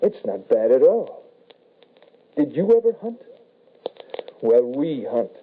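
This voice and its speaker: An actor playing an undead shapeshifting son, calmly menacing and apologetic, in old-time radio horror style. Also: male voice, mid-range voice, takes it slowly, a little hiss or hum. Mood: eager, predatory. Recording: tinny, band-limited vintage radio broadcast.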